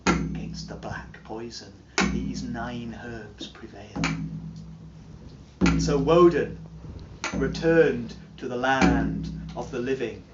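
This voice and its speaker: A man giving a slow, chanting dramatic recitation of an old charm, swinging from roaring calls to hushed murmurs, recorded from a distance at a live performance. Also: mid-range voice, very noisy. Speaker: storyteller. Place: heritage site, live performance room. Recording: dull, roomy live recording from far off. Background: drumming.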